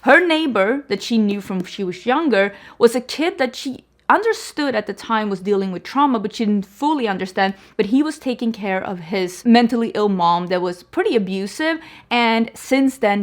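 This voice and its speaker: A woman's voice: medium pitch, animated, normal speed, hardly any pauses. Woman chatting about books. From Sweden. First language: Swedish. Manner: chatty, filler-heavy, frequent restarts and "like". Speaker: book reviewer. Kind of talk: casual book review chat.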